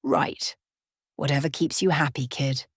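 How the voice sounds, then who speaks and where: A woman's voice: fairly even intonation, measured pace, husky, clean studio sound. Woman doing a grumpy old-school bartender guy in a story, growly and sarcastic, no room echo. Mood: grumbling, needling. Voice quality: low growl. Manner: grumbling, sardonic. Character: grizzled, gruff, scowling male bartender in a dive bar.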